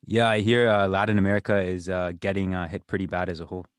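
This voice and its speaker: Man, podcast host, lowish voice, hesitant, lots of fillers, dry close sound. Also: flat delivery, quick pace, smooth, dry recording. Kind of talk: podcast interview.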